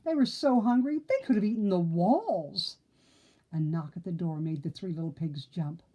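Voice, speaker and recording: A woman reading a children's story aloud in an expressive narrating style. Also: on the low side, normal speed, highs cut off. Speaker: storybook reader.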